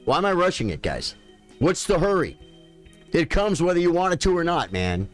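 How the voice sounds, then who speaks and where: A man's voice: fairly high pitch, sing-song, normal speed, faint music behind. A man in his late fifties, a chess streamer chatting casually and folksily with his viewers. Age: 50s. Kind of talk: casual live-stream chat.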